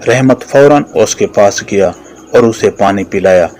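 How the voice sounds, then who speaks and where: A man's voice: lowish voice, unhurried, darkish tone. A man narrating a scripted moral story for a children's cartoon.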